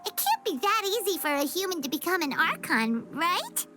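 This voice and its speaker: A woman voicing a tiny, childlike, excitable fairy companion in a video game, with a squeaky voice and exclaiming delivery. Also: normal speed, heavily acted. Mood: puzzled, curious. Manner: exclaiming, speaks of herself in third person. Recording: music behind the voice. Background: game music.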